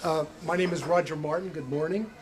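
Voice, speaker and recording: A man's voice: a little high, fairly quick. Older man giving a candidate statement. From United States. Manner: earnest, steady, with frequent "uh" fillers. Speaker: school board candidate. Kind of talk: short candidate statement at a public breakfast.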